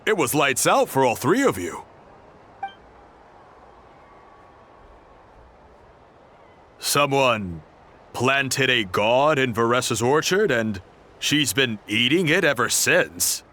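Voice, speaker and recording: A man playing an easygoing, exuberant young adventurer in a video game, relaxed and playful. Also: medium pitch, measured pace, full character voice. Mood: amused. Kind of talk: animated video game acting. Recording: faint game music behind.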